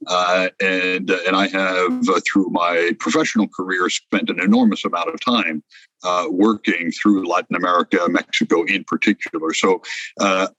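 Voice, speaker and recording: A man's voice: low-pitched, fairly even intonation, normal speed, bright, forceful tone, dry recording, poor audio. An older man, born in France, speaking as an interview guest in a reflective way, with many hesitations and restarts. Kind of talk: conversational interview.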